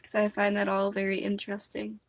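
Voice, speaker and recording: A woman's voice: medium pitch, completely flat delivery, fairly quick, a little hiss or hum. A young woman chatting casually and musingly while live streaming a makeup session, rambling between thoughts. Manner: rambling, soft-spoken and musing.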